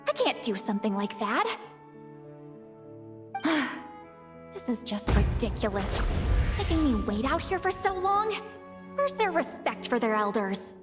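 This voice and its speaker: A woman's voice: on the high side, sing-song, a little slow, long pauses, over music. An actress playing a proud, easily flustered elderly shaman in a video game, muttering theatrically in exasperation. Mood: grumbling, indignant.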